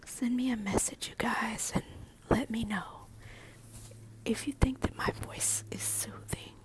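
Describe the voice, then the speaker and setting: A middle-aged woman speaks entirely in a soft unvoiced whisper, unhurried and gentle. She draws words out and lets repeated words trail off to almost nothing, in a soothing ASMR manner. Her nerves show lightly beneath the calm. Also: on the high side, poor audio. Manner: slow, soothing, repeats words fading away. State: nervous. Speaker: podcast host.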